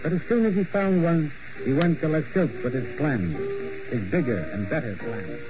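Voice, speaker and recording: A man narrating a story in measured, literary style for a 1940s radio drama. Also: fairly high pitch, over music. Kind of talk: scripted narration. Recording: muffled old radio broadcast with hiss.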